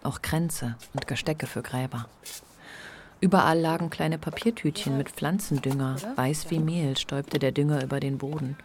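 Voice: medium pitch, moderate pace, a little hiss or hum. A narrator reading literary prose in a scripted radio drama.

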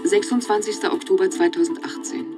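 A woman's voice: medium pitch, steady tone, a little fast, over music. A woman narrating a scripted, news-like report within a radio play.

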